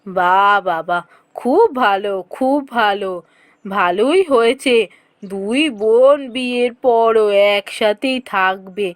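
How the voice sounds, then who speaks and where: A woman voicing a calm, agreeable middle-aged village mother in a cartoon fairy tale, speaking in a slow, measured way. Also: medium pitch, no echo, pitched below the performer's own voice, close to an everyday voice. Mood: pleased, agreeable.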